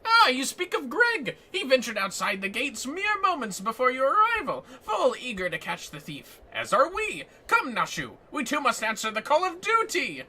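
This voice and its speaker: A man voicing a pompous, bombastic gentleman inspector from a video game, with grandiose, old-fashioned, theatrical diction. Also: very high voice, huge pitch swings, moderate pace, few pauses, slight background noise, pitched above the performer's own voice, exaggerated voice. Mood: exuberant, grand. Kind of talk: video game dialogue read aloud.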